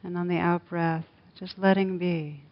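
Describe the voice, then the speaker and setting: Female meditation teacher, low even delivery, gentle and unhurried; dull lo-fi recording. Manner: slow, soft, gentle guidance with long pauses. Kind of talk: guided meditation.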